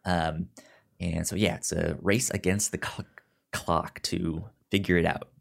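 A man co-hosting a podcast in casual chat, summarizing and reviewing in a halting way, often stammering and repeating words. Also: low voice, steady tone, gravelly. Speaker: podcast co-host.

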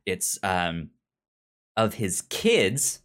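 A man's voice: low voice, very animated, drawn-out words, a bit bright. A man co-hosting a podcast in casual discussion of comics, often repeating and restarting his words as he goes. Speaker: podcast co-host.

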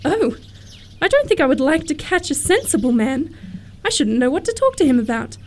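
A woman's voice: high voice, very animated, moderate pace, slight background noise. A woman playing a prim, candid young girl of good family, earnest and politely teasing, in a theatrical radio comedy. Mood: demure teasing.

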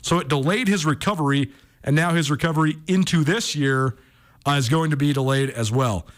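A man's voice: fairly high pitch, brisk. Man hosting conversational sports radio. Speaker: sports radio show host.

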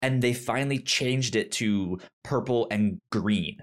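A man's voice: mid-range voice, measured pace. A man co-hosting a podcast, chatting casually with geeky enthusiasm and often circling back to rephrase himself. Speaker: podcast co-host. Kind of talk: casual chat about comics and shows.

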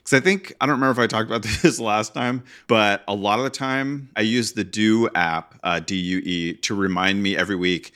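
A man with a very low voice, chatting casually as a podcast co-host. His tone is forceful and bright, and his delivery is enthusiastic and rambling, with plenty of fillers. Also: brisk, few pauses, clean tone. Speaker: podcast co-host, newsletter writer. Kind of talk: casual chat.

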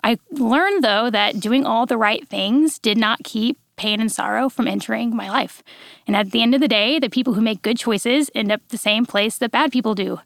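Woman, 30s, podcast guest, bubbly, raspy fry, dry studio sound. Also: a little high, fairly bright tone, dry recording. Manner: bubbly, quick to laugh. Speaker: podcast guest, devotional writer. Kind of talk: casual chat and devotional teaching.

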